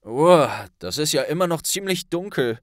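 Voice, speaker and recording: A man's voice: on the high side, expressive, normal speed, no room sound, clean studio sound, pitched above the performer's own voice, natural voice. A man playing a blunt, loyal young male wizard friend with a colloquial way of talking in an audiobook. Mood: uneasy. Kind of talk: audiobook character voice.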